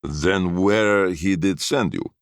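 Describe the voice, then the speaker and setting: A man voicing an elderly immigrant host who speaks with broken word order and slow, declaiming emphasis. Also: low-pitched, no echo, studio quality.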